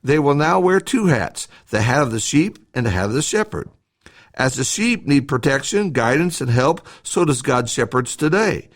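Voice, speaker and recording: A man reads a scripted devotional talk as a church podcast host, in a measured, instructive way with short emphatic phrases. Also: mid-range voice, very animated.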